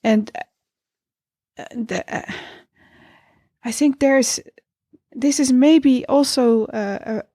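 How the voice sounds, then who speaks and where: A woman co-hosting a reflective intellectual discussion, speaking deliberately with pauses between her phrases. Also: high voice, dark tone, no room sound, studio quality.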